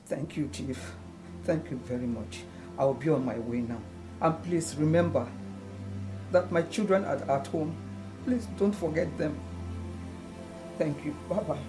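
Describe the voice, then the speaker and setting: A woman's voice: low voice, a little slow, long pauses. An actress playing a wounded, proud middle-aged mother in a film melodrama, speaking emphatically. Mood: pleading, respectful. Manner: emphatic, declamatory. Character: wounded, proud elder mother scolding her son. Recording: music under the dialogue.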